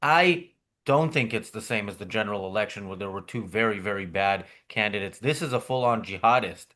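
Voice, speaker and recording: A man hosting a political podcast, talking in a halting way with many fillers and restarts over a video call. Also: on the low side, pushed and bright. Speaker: podcast host. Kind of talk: political commentary chat.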